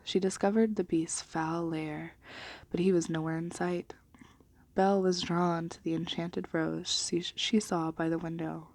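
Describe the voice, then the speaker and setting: A woman reading a children's storybook in a gentle, hushed ASMR style, dropping into whispers. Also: lowish voice, level tone, measured pace, no room sound. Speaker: ASMR reader.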